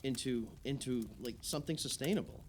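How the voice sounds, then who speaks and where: A man's voice: medium pitch, brisk, slight background noise, close to an everyday voice. An actor playing an opinionated, idealistic male islander holding forth emphatically in conversational radio play dialogue. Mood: opinionated, insistent.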